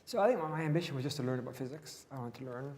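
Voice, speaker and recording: A man, an interview guest, talking about his path into physics in a relaxed, matter-of-fact way. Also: medium pitch, racing, big-room sound. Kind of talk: conversational interview.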